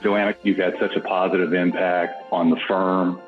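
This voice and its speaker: Deep-voiced guy praising a colleague, measured, kinda muffled audio. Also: level tone, soft background music. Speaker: colleague giving a tribute. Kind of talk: documentary interview. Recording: dull lo-fi sound.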